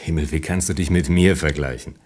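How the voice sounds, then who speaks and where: Very deep-voiced, disapproving, husky man. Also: steady tone, fairly quick, darkish tone. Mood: exasperated. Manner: stern, reproachful, terse. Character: exasperated, disapproving partner of a headstrong woman. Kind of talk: naturalistic German radio play acting.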